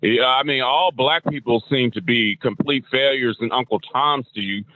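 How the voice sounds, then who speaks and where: A man's voice: mid-range voice, normal speed, pushed and bright, no echo. Man, sarcastic, heckling, tinny phone line, dry. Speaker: panelist. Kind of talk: heated panel debate.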